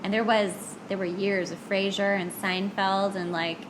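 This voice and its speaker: A woman's voice: mid-range voice, little pitch movement, moderate pace. A woman hosting a podcast in a casual chat, talking candidly and poking fun at herself. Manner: candid, self-deprecating. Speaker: podcast host. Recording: clear background noise.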